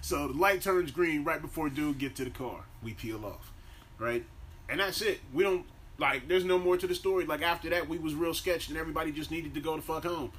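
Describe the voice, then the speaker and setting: Slangy American man, slightly high-pitched. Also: a little fast, a bit bright. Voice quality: deep-voiced by own claim. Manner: slangy, swearing, conversational. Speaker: podcast co-host. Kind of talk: casual profane banter and animated storytelling. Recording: faint background noise.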